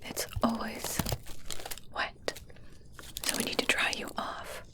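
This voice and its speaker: A woman playing an evil witch in an ASMR roleplay, speaking in a breathy, deliberate whisper with a smug, softly condescending manner. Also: lightly acted. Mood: calm, instructive. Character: whispering evil witch, smug, coolly teasing, testing potions.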